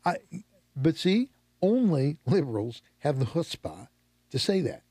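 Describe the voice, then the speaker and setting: A male radio host with a lively, up-and-down delivery and a fairly high voice. He banters and cracks jokes, laughing often, close to a studio mic in a dry, dead room. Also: measured pace, no room sound. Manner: animated, laughs often, jokey asides. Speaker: radio talk show host. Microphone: studio microphone.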